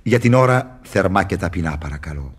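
A sly devil of classic radio theatre, low and husky. He swoops theatrically through his verse, mocking and wheedling with false humility. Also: animated.

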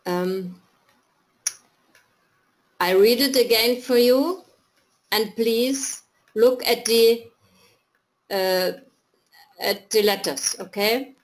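A woman's voice: fairly high pitch, extremely slow, long pauses. A woman teaching German in an online video-call class, patiently prompting students to read and translate.

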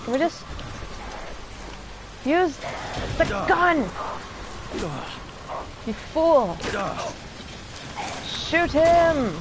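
A woman's voice: very high-pitched, lively intonation, very slow, pauses often. A young woman doing casual live game commentary, profane, playful and sarcastic, talking as a streamer. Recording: noisy, game sound behind voice. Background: video game ambience.

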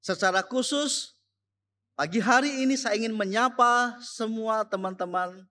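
Man, high voice, slow pace, emphatic repeated phrases, chapel sermon. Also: pushed and bright. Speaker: chapel speaker and teacher. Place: school chapel.

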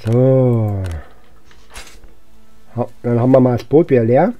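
A man's voice: medium pitch, measured pace, lo-fi sound. A man giving casual let's-play commentary as a gaming host, unhurriedly thinking aloud to himself.

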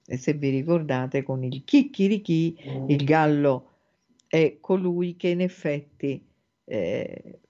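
A woman hosting a spiritual talk, explaining a fairy tale in a thoughtful, measured way with frequent hesitant pauses, in dull lo-fi podcast audio. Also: sits low, mellow. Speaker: host and spiritual teacher. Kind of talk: reflective spoken lecture on a fairy tale.

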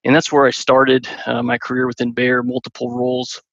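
Guy from southern illinois, gravelly voice, chill, dry headset audio. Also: mid-range voice, monotone, brisk, fairly bright tone, dry recording, highs cut off. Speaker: guest expert. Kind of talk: casual interview answers. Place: bedroom, indoors. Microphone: headset mic, video call.

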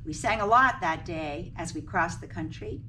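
A woman's voice: fairly low pitch, animated, moderate pace, bright, forceful tone. A middle-aged woman teacher reading a picture book aloud, adding instructive explanations as she goes. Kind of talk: read-aloud storytelling with explanatory asides to pupils. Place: small indoor classroom or office beside a screen. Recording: distant mic, clearly noisy room.

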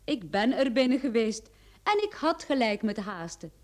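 An actress playing a bold, teasing private detective in a Dutch radio play, with a playful, self-assured manner. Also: female voice, a little high, very animated, moderate pace. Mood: confident.

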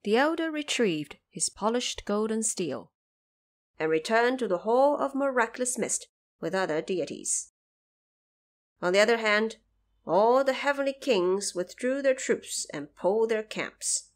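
A woman's voice: fairly high pitch, lively intonation, measured pace. A woman narrating a scripted audio drama, telling the story as a narrator.

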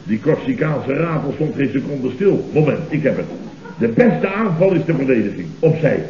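An actor playing a panicky, self-important boss in a Dutch radio comedy, stammering and repeating words emphatically, with a Netherlands accent. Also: male voice, medium pitch, big pitch swings, moderate pace, warm tone. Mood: blustering. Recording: dull, noisy, roomy old radio recording.

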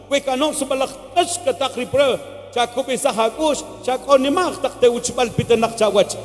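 A middle-aged Guatemalan man preaching an emphatic, exhortatory sermon, repeating phrases, with a Guatemalan accent. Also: extremely high pitch. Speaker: evangelist preacher. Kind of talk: impassioned sermon mixing Spanish with a Mayan language. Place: large church hall, indoors. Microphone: handheld microphone through PA. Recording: long hall reverb, live church amplification. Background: live music.

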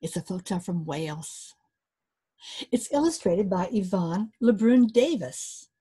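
An older woman storyteller reading a folktale aloud to children, animated and inviting listeners to join in. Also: medium pitch, unhurried, slightly rough. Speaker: author and storyteller. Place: small book-lined room indoors.

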